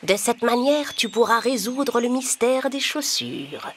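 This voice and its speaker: A woman's voice: on the high side, a little slow, slight background noise, natural voice. A woman voicing a kindly, poor old peasant woman in a children's fairy-tale cartoon, speaking in a pleading, solemn way. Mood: solemn, caring.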